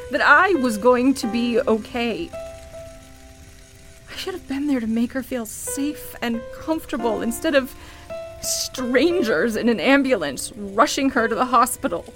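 An actress plays a candid, vulnerable woman in a radio play, carrying grief and guilt. She speaks at an unhurried pace, halting and emotional as she confides. Soft music plays underneath. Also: sits high.

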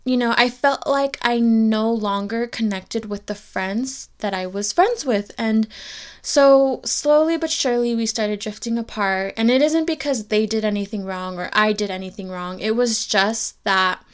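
A woman's voice: fairly high pitch, measured pace, clear, resonant tone. A woman in her twenties hosting a podcast, telling a personal story in a candid, reflective way and speaking to her listeners directly. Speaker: podcast host.

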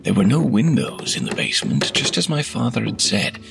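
A man reading a horror story aloud in a measured, hushed delivery that drops to whispers, with faint music behind. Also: mid-range voice, huge pitch swings, vocal fry. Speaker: narrator. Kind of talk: scripted horror narration.

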